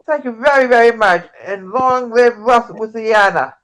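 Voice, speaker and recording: A woman's voice: fairly high pitch, moderate pace, natural voice, poor audio. A woman playing a victorious progressive female president giving formal addresses in an amateur table read. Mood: solemn, formal.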